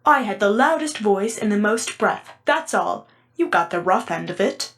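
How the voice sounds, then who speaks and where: A woman's voice: mid-range voice, lively intonation, normal speed, a bit bright. A woman voicing a blustery, good-hearted, plain-spoken male lion in a storybook. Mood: embarrassed, modest. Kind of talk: dramatic storybook reading.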